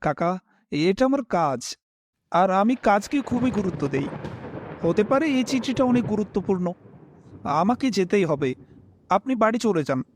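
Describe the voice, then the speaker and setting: Casting: young man, high lively voice, polite first-person narrator for dramatised animated horror. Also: a little slow, long pauses, no room sound.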